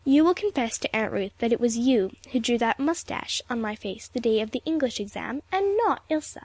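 A woman's voice: on the high side, expressive, normal speed, darkish tone, no room sound. Woman as a stern, proud teenage girl. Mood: stern, contemptuous. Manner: curt, lofty, stern.

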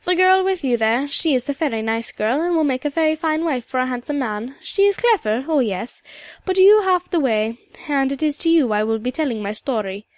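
A woman reading a storybook, voicing a proud, dignified old widow with a Highland Scottish accent and a rich, emphatic delivery. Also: animated, moderate pace, dry recording. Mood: firm, confiding. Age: older. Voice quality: rich, powerful. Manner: stately, emphatic, lilting turns of phrase.

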